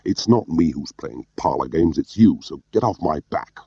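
A man's voice: very deep voice, animated, normal speed, mellow, slightly rough, exaggerated voice. A defiant male spy as a man reads him in an audiobook answers with dry sarcasm and indignation. The sound is dead of room echo and a little dull. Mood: angry. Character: facetious, defiant male British spy under accusation.

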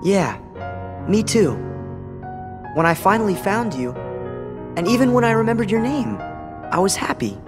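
An actor playing an earnest, determined, warm-hearted teenage boy hero in a video game. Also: high voice, big pitch swings, moderate pace, long pauses, over music. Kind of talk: video game cutscene acting.

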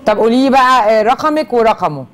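Fast-talking Egyptian TV host. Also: female voice, on the high side, animated, a bit bright. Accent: Egyptian Arabic. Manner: brisk, affectionate with callers, repeats details back. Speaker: talk-show host. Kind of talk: live call-in show hosting. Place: bright television studio.

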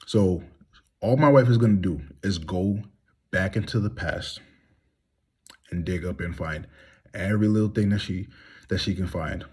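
A man's voice: deep voice, unhurried, pauses often, a little mellow, slightly rough. A man in his thirties speaking bluntly and heatedly in a candid monologue, reclining in a small room and filming himself on a phone held at arm's length. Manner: heated, blunt, rhetorical questions. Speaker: vlogger.

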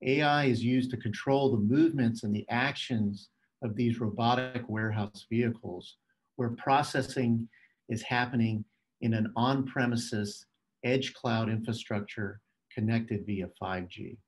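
Middle aged guy reading out a corporate keynote, medium pitch, measured and formal, phrase by phrase. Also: rough recording. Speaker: telecom executive giving a keynote. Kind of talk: scripted keynote presentation.

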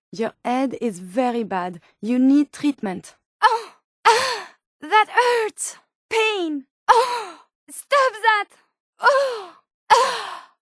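A female robot scientist from a video game with a high voice and a French accent. She is courteous, inquisitive and earnest, choosing formal, clinical words with care. The sound is dry and close, with no room around it. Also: no echo. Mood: alarmed, pained. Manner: formal, precise, clinical phrasing. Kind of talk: video game companion dialogue lines.

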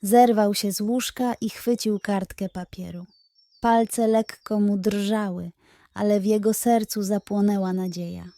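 A woman's voice: medium pitch, fairly even intonation, unhurried, dark tone, no room sound. A woman narrating a scripted bedtime story for children.

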